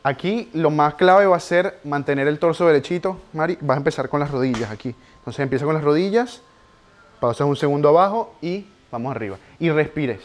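A man's voice: fairly high pitch, a little hiss or hum. Young man coaching reps in a garage. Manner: urgent encouraging counting of reps, pushes harder near the end. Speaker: personal trainer. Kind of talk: live coaching a workout. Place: home garage gym, indoors.

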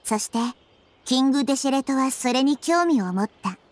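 A woman voicing a wise, gentle, childlike nature goddess in an anime game, explaining things with composure. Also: high-pitched, expressive, fairly quick. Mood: thoughtful. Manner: composed, explanatory, feminine phrasing. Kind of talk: Japanese anime game voice acting.

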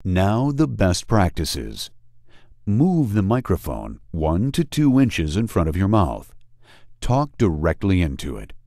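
Male narrator for instructional video: low, mellow, dramatically varied intonation, deliberate and emphatic, dry studio sound. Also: wildly swooping pitch, dry recording. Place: studio voice-over.

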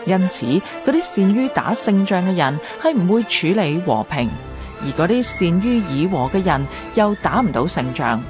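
Hong kong cantonese narrator, scripted history read, very expressive pitch, faint music behind. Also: normal speed. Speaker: documentary narrator.

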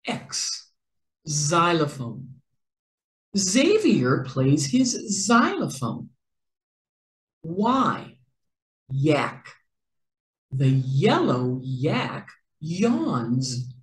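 A middle-aged woman reading a children's picture book aloud in an expressive, deliberate storytelling manner with careful enunciation. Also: low-pitched, roomy sound. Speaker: read-aloud host for children.

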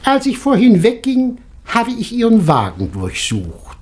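A shrewd doctor in an old radio whodunnit. His delivery is very animated in pitch, yet precise and deliberate, with a self-assured, triumphant edge. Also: full character voice. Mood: measured, triumphant. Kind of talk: 1960s German radio crime drama acting.

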